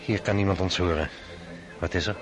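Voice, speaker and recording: A man's voice: low-pitched, steady tone, a little fast, lightly acted. Man voicing a brooding young officer, hesitant, proud, radio play, music behind. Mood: reluctant. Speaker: suitor. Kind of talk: radio drama acting.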